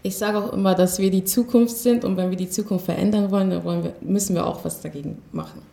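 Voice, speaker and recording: A woman's voice: medium pitch, fairly even intonation, fast, vocal fry, dark tone. A twenty-year-old woman giving spontaneous interview answers as a member of a youth council. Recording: roomy echo.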